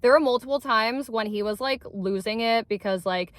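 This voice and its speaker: A woman's voice: a little high, normal speed, dry recording. A young woman co-hosting a casual movie review from a parked car, bubbly and slangy.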